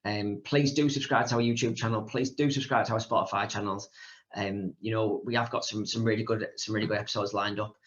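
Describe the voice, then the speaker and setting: A man hosting a podcast and interviewing a guest, brisk and often repeating words. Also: on the low side, steady tone, fairly bright tone, lo-fi sound. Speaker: podcast host. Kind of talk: casual interview chat.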